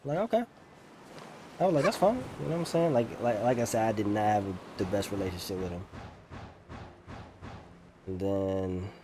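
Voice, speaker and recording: A young man talking casually over gameplay as a streamer, candid and slangy, in a halting way. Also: lowish voice, background noise. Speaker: gaming streamer.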